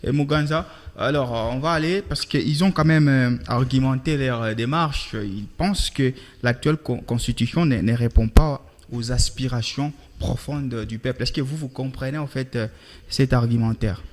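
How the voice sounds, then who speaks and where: A man hosting a political panel interview, phrasing his questions in a halting way. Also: medium pitch. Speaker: interviewer, host.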